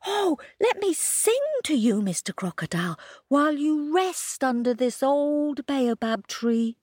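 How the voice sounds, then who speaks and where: A woman voicing a sly, mischievous little male hare in a children's story, with a high, bouncy voice. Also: lively intonation, a little slow, a little mellow, no room sound, clean studio sound. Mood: coaxing.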